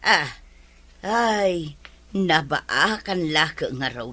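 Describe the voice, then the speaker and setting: Aktres a kas makibibiang a babai, natadem ti timekna. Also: female voice, sits low, expressive, very slow, bright, forceful tone, exaggerated voice. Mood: teasing. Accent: Ilocano. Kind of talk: Ilocano comedy drama acting.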